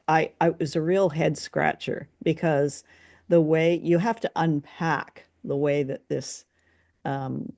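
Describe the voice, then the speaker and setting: A woman's voice: deep voice, gravelly, darkish tone. A woman hosting a casual review discussion, conversational and inquisitive, often hedging as she puts her thoughts. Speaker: podcast host.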